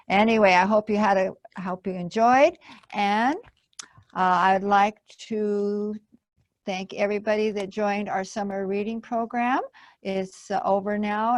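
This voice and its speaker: A woman's voice: mid-range voice, no echo. A woman hosting a library storytime, telling a story to children and adding simple explanatory asides. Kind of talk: children's read-aloud storytelling.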